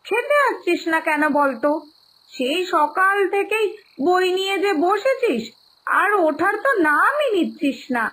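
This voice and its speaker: A woman's voice: very high voice, very animated, unhurried, fairly bright tone, exaggerated voice, low-quality recording. A woman voicing a doting, hard-working village mother in a cartoon moral story, with a coaxing, explanatory manner that repeats phrases. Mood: mild scolding.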